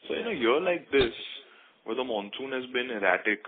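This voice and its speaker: A man's voice: medium pitch, animated, fairly quick. A man with an Indian accent politely asking questions as an investment analyst on an earnings call. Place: phone conference call. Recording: narrow, tinny phone line.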